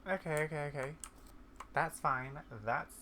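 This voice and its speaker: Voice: medium pitch, a little slow, pushed and bright. A gamer giving casual let's play commentary, rambling and thinking aloud in a confused way, sometimes reading quest dialogue aloud. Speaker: gaming video creator. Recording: faint background noise with keyboard typing.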